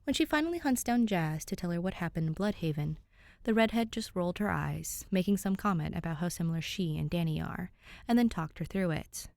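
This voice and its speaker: A woman's voice: on the low side, lively intonation, a little fast, creaky, darkish tone, no room sound, clean studio sound. A woman hosting and narrating fan fiction, chatting to listeners and reading expressively. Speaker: podfic reader and channel host.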